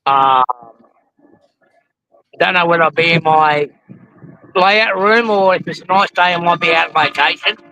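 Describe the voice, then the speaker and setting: Hoarse Australian man rambling on-site. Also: fairly high pitch, measured pace, pauses often, background noise. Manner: rambling, frequent ums and restarts. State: losing his voice from the heat. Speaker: model railroading channel host. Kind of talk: casual live commentary. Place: outdoors at a roadside truck convoy.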